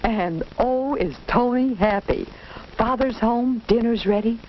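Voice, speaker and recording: A woman's voice: fairly high pitch, unhurried, dull audio. A woman narrating a 1940s educational film as a simple story for children, speaking directly to the listener in an instructive way. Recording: band-limited 1940s film soundtrack, background noise.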